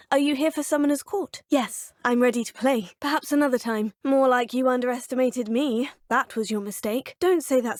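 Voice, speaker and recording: A young woman voicing a poised young witch, a student who speaks in polite, measured, proper phrases. Her voice sits a little high. The studio sound is close and dry, with no room around it. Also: dry recording, natural voice. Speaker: player character. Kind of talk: video game voice lines. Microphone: studio mic.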